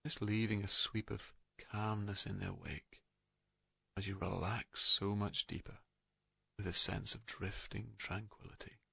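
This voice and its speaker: A man narrating a guided meditation in a calm, gentle, soothing manner, leaving unhurried pauses between soft phrases. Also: fairly low pitch, vocal fry, husky, no room sound.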